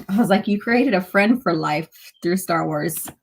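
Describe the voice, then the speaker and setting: A woman with a slightly raspy voice hosting a casual live chat, talking to viewers in a chatty way with pauses and asides. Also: on the low side, a little hiss or hum. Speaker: livestream host.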